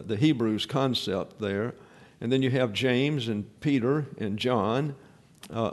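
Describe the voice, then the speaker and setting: A male pastor gives a Bible teaching sermon in an explanatory, conversational way, stopping often with fillers and restarting phrases. His voice sits at an ordinary middle pitch. He presses firmly on the key commands he wants the congregation to take home.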